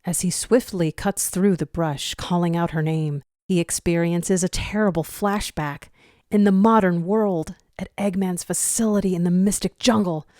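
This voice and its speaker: A woman's voice: lowish voice, normal speed, darkish tone, no room sound, studio quality. A woman reading a dramatic scripted story as its narrator. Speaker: narrator of a fan fiction audio reading.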